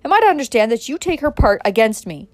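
A woman's voice: lowish voice, steady tone, normal speed, a little mellow, no echo, lightly acted. A woman voicing a proud, stern older man, a domineering father with a stiff, commanding manner, in a storybook reading. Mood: angry, commanding.

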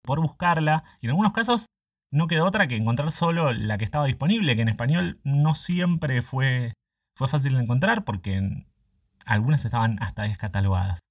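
A man's voice: mid-range voice, animated, a little fast. A man giving a prepared spoken presentation as a guest literary translator. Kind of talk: prepared monologue presenting a book.

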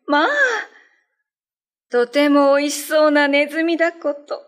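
A woman's voice: high voice, level tone, a little slow. A woman playing a young shapeshifting female cat, devoted and secretly savage, with polite, earnest diction in a radio drama. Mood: motherly.

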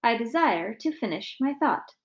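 Woman voicing an exasperated, loud storybook father. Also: on the high side, sing-song, moderate pace. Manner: stern, loud, fed up. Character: exasperated shouting father of a noisy family.

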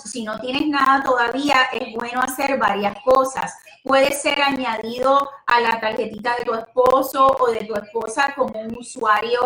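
A woman with a Puerto Rican accent hosts a casual advice talk in a high-pitched voice. She is chatty and familiar in her phrasing. The sound is echoey, picked up from some distance from the microphone. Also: fairly bright tone. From Puerto Rico. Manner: chatty, familiar, uses affectionate diminutives and some English words. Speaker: host and home loan advisor. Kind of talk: casual advice talk to a live audience online. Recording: roomy echo, distant microphone.

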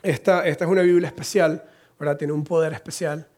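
A male pastor delivers a chatty sermon, his voice slightly hoarse from a cold. He jokes at his own expense between points, and his speaking voice sits somewhat high for a man. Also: steady tone, fairly quick, a little mellow. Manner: humorous, self-deprecating, chatty asides. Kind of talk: conversational sermon. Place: church in Costa Rica.

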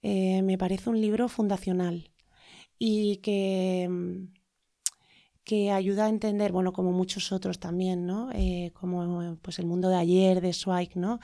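A woman's voice: fairly low pitch, little pitch movement, a little slow, warm tone, no echo, studio quality. Hesitant, thoughtful Spanish woman guest. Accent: Spain Spanish. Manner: hesitant, many fillers and tag questions. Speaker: interview guest, lawyer. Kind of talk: reflective conversational interview.

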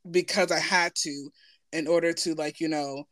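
A woman's voice: sits low, steady tone, normal speed, a bit bright, no echo, clean studio sound. A woman speaking informally and candidly in a personal conversation, often checking in for agreement as she goes.

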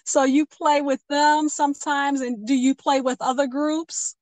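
A woman's voice: sits high, steady tone, unhurried, a little mellow. A woman hosting a radio interview, addressing listeners and encouraging her guest by echoing answers back. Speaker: radio show host.

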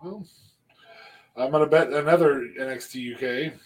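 Male podcast co-host, husky tone, dry joking delivery. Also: mid-range voice, normal speed. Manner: joking, swearing, dry asides. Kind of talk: casual podcast chat.

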